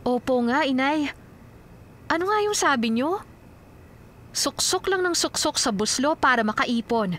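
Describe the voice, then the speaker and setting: A woman's voice: high voice, lively intonation, a little slow, long pauses, a bit bright, slight background noise. An actress playing a devoted, mock-suspicious young wife and mother in a Filipino audio drama, chiding and earnest. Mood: earnest, fond.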